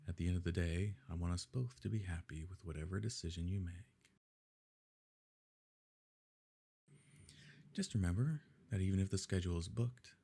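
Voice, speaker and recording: A possessive, smooth-mannered evil nobleman, played by a man in an ASMR romance drama. His voice is very deep and dark, with a gravelly grain. He speaks formally and with measured control, pressing his beloved with quiet coercion. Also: exaggerated voice. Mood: coaxing, businesslike. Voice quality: low, husky, gravelly. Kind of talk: ASMR romance roleplay, scripted dramatic monologue.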